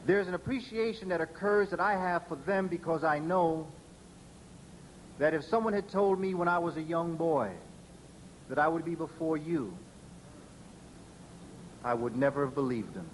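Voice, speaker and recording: A male educator addresses an audience in a slightly high voice. He paces his speech deliberately, pausing and stressing words to keep control of it, and sometimes spells a word out letter by letter. Faint ambient noise sits under the voice. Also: rough recording. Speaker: educator giving a lecture. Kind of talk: public lecture to an audience.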